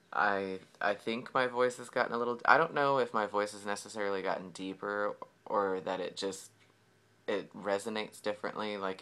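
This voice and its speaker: A man's voice: sits low, vocal fry, bright, forceful tone. A young man, talking casually in a video diary, rambling with lots of fillers and easy laughs. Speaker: vlogger.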